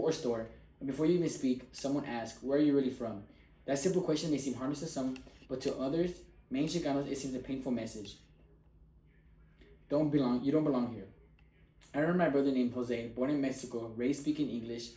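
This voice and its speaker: A man gives a prepared persuasive speech in an earnest, emphatic way. His voice is dark and mellow, with an average pitch. The room around him is roomy and echoey. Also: a little fast. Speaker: student giving a speech.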